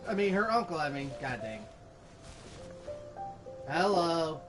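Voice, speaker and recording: A man casually commenting on a game he plays. His voice is high-pitched and he takes his time between words. Music from the game is clearly audible underneath. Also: big pitch swings, slow. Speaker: gameplay streamer. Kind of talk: casual gameplay commentary, reading dialogue choices aloud.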